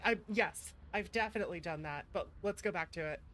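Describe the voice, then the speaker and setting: A woman's voice: fairly low pitch, brisk, background noise. A woman streaming a game with casual commentary, hesitantly thinking aloud and reading choices out. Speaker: streamer playing a visual novel. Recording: music playing behind the voice. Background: game music.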